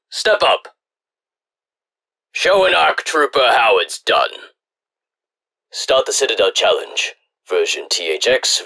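An actor playing a gruff, commanding clone trooper drill officer in an animated sci-fi war drama, stern and authoritative, with a gravelly voice. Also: male voice, low-pitched, exaggerated voice. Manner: stern, authoritative, barking orders.

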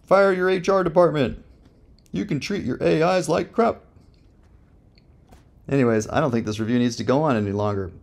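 A man with a gravelly voice, casually reviewing software while thinking aloud in a slangy, joking, laid-back way. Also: a little high, normal speed, pauses often.

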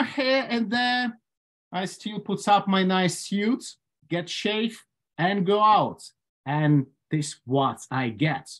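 A man in his forties with a Ukrainian accent, giving a prepared humorous speech at an online club meeting with dry humor and measured phrasing. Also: high-pitched, a bit bright. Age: 40s. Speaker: club speaker. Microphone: video call.

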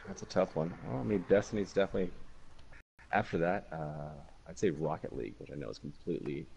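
A young man on a gaming podcast, talking in an easygoing way with many pauses and fillers. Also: low voice, expressive, gravelly, darkish tone, slight background noise, rough recording. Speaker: podcast co-host. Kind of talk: casual chat.

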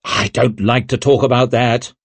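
A man voicing a blunt, gruff young male lord and war hero in his twenties. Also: medium pitch, steady tone, normal speed, no echo, close to an everyday voice.

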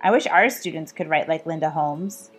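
A woman's voice: deep voice, normal speed, faint music behind. A woman who is an author, reading her own book aloud in a scripted style. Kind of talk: scripted read-aloud narration.

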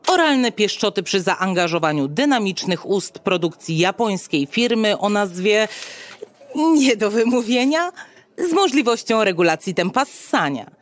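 Haughty, officious futuristic clinic receptionist. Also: female voice, mid-range voice, very animated, a little slow. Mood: officious, salesy. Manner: formal, bureaucratic, haughty, sales-like patter. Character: brisk, officious female receptionist at a futuristic state pleasure clinic. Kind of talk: Polish audio drama acting.

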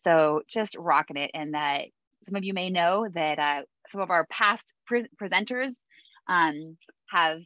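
Low-voiced woman hosting a video call. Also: unhurried, a bit bright, dry recording. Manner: chatty, many fillers, laughs easily. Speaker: host of a Navy mentoring video call. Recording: dull dry video-call audio.

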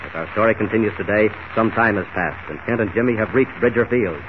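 Dramatic 1940s radio announcer, tinny old recording. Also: male voice, fairly low pitch, fast talker, background noise. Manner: dramatic, declamatory.

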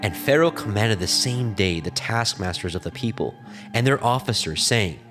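A man narrating scripture from a script in a measured, solemn manner. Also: lowish voice, animated. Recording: music behind the voice.

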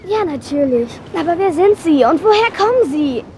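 A woman's voice: very high voice, animated, moderate pace, background noise. A woman voicing a curious, innocent little boy dinosaur in a children's cartoon audio drama.

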